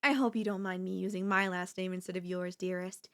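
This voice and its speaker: A woman's voice: fairly low pitch, fairly even intonation, fairly quick, fairly bright tone. A woman playing a doting, possessive girlfriend in an ASMR audio roleplay, speaking in flowery, coaxing endearments with a teasing elegance. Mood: delighted. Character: doting, possessive yandere girlfriend, sweetly insistent and theatrical.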